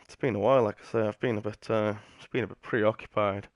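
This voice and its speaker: A man's voice: lowish voice, fairly even intonation, fast talker, dry recording, clean studio sound. A British man giving casual, rambling live commentary as he streams a video game. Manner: rambling, offhand. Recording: game music behind the voice. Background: video game music.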